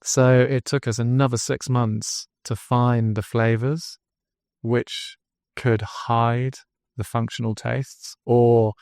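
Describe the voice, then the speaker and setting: An English man, guest on a podcast interview, telling his story as a drinks brand founder in an earnest pitch. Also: level tone, slow pace, darkish tone, smooth, dry recording, studio quality. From England.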